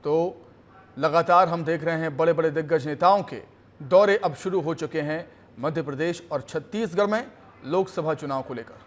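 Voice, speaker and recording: A man's voice: fairly high pitch, moderate pace. A man presents television news in an emphatic, declarative, scripted anchor style.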